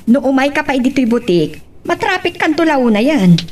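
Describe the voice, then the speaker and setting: A woman's voice: high voice, sing-song, normal speed, darkish tone, a little hiss or hum. A woman with an Ilocano accent playing a bossy, nosy, scheming boss in a radio drama. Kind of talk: Filipino radio drama acting.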